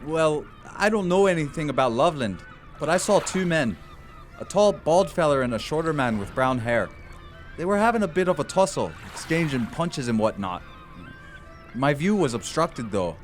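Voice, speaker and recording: Man acting a higher-voiced folksy dockside informant. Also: sing-song, normal speed. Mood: uneasy, apologetic. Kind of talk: 1940s noir radio drama acting.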